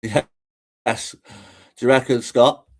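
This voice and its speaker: A man's voice: medium pitch, steady tone, very slow. A man giving casual, rambling livestream commentary in a chatty, informal way. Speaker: streamer and host.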